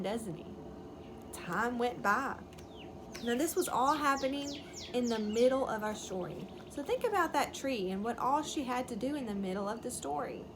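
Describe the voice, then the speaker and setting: A woman's voice: high voice, noisy background. A woman reading a story aloud to young children as a teacher would, explaining and asking simple questions.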